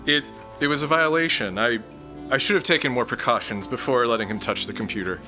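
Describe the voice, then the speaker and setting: Man playing a stammering, bright-voiced awkward guy. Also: mid-range voice, brisk, faint music behind, natural voice. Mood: hesitant. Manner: hesitant, stammering. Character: timid, awkward young man, mall creator.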